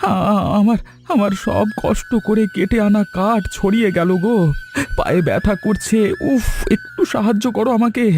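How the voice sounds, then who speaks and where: A man's voice: high voice, normal speed, darkish tone, faint music behind. A man playing a humble, hardworking village woodcutter in a cartoon, plaintive and earnest. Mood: pained, wailing. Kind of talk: Bengali cartoon story acting.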